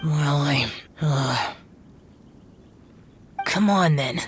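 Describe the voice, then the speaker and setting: A man's voice: a little high, very slow, a bit bright, slight background noise. A man playing an ancient, aloof immortal guardian in an anime video game, speaking in a stern, formal manner. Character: ancient, aloof young-looking male demon-slaying immortal guardian. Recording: game music behind the voice.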